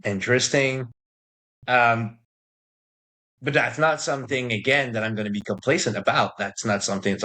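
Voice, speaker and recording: Podcast co-host, man, low voice, halting and full of restarts. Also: fairly bright tone, low-quality recording. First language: not English. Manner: hesitant, halting, many restarts and fillers. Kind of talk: casual recovery podcast chat.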